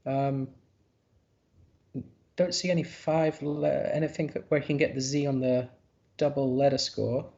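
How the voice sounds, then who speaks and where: A man's voice: medium pitch, flat delivery, unhurried, vocal fry, darkish tone, dull audio. A man commentating a live board game, thinking aloud in a hesitant, musing way.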